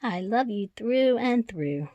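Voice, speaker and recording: A woman reading picture books aloud for young children in a gentle, affectionate, deliberate manner. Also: fairly high pitch, animated, a little hiss or hum. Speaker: storybook reader. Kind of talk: expressive children's read-aloud.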